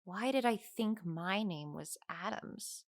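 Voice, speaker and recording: Captive young-sounding heroine, audio drama. Confused, frightened, hesitant, creaky voice, close dry sound. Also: female voice, mid-range voice, animated, slow, dry recording. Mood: dazed, questioning. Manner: hesitant, questioning. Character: confused, frightened woman held captive on an island.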